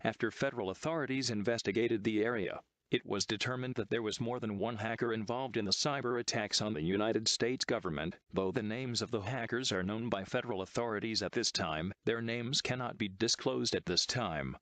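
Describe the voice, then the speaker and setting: A low-pitched synthetic man's voice delivers a scripted emergency alert. The sound is dry and close, with no room around it. The delivery is formal, measured and impersonal, like an official announcer. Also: a bit bright, no echo. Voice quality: synthetic text-to-speech voice.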